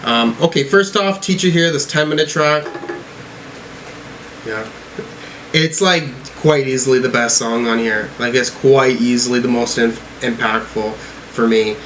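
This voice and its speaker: A man's voice: medium pitch, a little slow, a bit bright, poor audio. Man, mid-range voice, casual music reviewer, hesitant, thinking aloud, faint background hum. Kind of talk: casual spoken album reaction.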